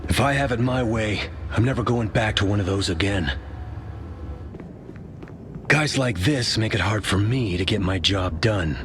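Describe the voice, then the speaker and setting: A man's voice: fairly low pitch, measured pace, long pauses, lightly acted. A man playing a grim, war-weary super soldier in a video game, brooding and dry. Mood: grim, resolute. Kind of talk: video game character voice line. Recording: noisy game ambience behind.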